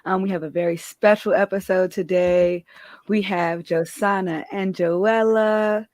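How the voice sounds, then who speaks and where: A woman's voice: mid-range voice, fairly even intonation, unhurried, darkish tone, no room sound. Chatty podcast host woman, dry sound. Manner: chatty, upbeat, many fillers. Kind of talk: casual podcast chat.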